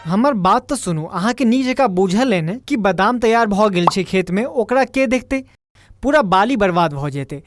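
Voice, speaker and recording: A woman's voice: mid-range voice, expressive, normal speed, soft background music, close to an everyday voice. A woman voicing a worried grown son and husband in a cartoon, questioning and protesting, with a Maithili accent. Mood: alarmed, protesting. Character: worried, reasoning adult son and farmer husband. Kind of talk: Indian cartoon dialogue.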